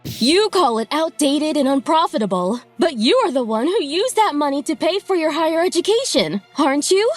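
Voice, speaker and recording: A woman's voice: high-pitched, animated, normal speed, no room sound, faint music behind. A woman acting an outspoken, indignant wife and mother in a dubbed manga drama.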